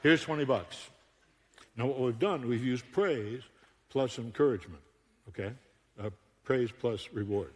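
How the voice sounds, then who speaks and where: An older man from Buffalo preaches in a playful, joking way and keeps breaking into laughter. His voice sits in an ordinary middle pitch. He speaks into a stage microphone, and the sound rings with the long reverb of a large church hall. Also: measured pace, pauses often. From Buffalo, New York. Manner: joking, animated storyteller with frequent laughs. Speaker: guest speaker. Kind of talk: humorous sermon. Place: church auditorium.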